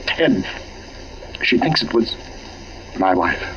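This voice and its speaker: A man's voice: low-pitched, very animated, slow, a bit bright, a little hiss or hum, heavily acted. A man playing an anxious, guilt-ridden husband in 1940s radio mystery style, speaking urgently and earnestly. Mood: urgent, insistent. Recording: dull, muffled old radio recording.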